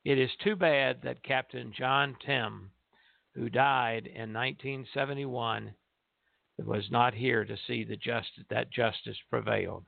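An older man hosts a radio talk show in a gravelly voice. He sounds close and dry, but the line is narrow and phone-like. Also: mid-range voice, steady tone, unhurried, no room sound. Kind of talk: reading an article aloud and casual chat.

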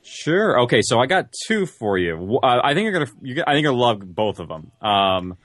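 A man's voice: mid-range voice, very animated, moderate pace, a bit bright, no echo. Man explaining in a dry, close sound. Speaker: guest, audio drama writer and producer. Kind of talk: casual interview chat.

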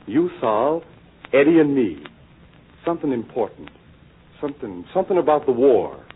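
A man's voice: medium pitch, big pitch swings, moderate pace, slightly rough. An American man playing an earnest, idealistic young songwriter in a 1940s radio drama, speaking with serious deliberation on a tinny old recording.

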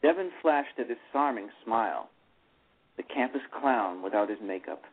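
A man reads a novel aloud as narrator, at a steady pace and often catching himself to correct a word. His voice is husky. The audio is narrow and tinny, like a phone call. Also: on the low side, normal speed. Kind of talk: reading a novel aloud on radio. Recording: tinny phone-line radio.

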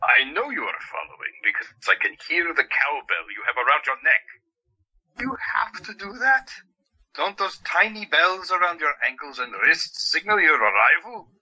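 A man's voice: on the high side, big pitch swings, normal speed, pushed and bright, slightly rough, muffled recording, exaggerated voice. An actor playing a curt, irritable male doctor in an audio drama, dry and brusque. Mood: irritated, sardonic.

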